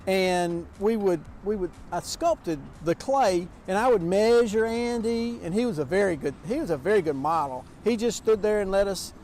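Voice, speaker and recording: A man's voice: high voice, animated, moderate pace, few pauses, darkish tone, slight background noise. A sculptor talks children through his outdoor sculptures in a folksy, chatty way, full of restarts and fillers. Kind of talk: outdoor walking tour talk to children.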